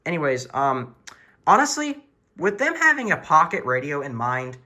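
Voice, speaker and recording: A man's voice: a little high, big pitch swings, normal speed, sharp tone. A man hosting a casual show-and-tell in his room at home, talking in an informal, earnest hobbyist way. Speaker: video host showing a weather radio collection.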